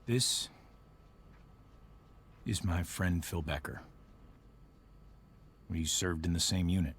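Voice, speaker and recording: Grieving ex-soldier stepdad, American accent, deep, earnest, awkward, measured, game cutscene, faint noise. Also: male voice. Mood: somber reminiscing. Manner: halting, solemn, measured. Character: grieving army veteran stepfather, earnest and awkward. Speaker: video game character. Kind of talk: dramatic game cutscene acting.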